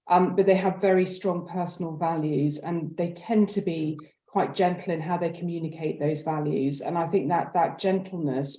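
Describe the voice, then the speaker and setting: A woman with a deep, mellow voice speaks as a school head on a webinar panel. She is measured and considered, and her audio rings with noticeable room echo. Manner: measured, considered, hedging phrasing. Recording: roomy, echoing webinar audio.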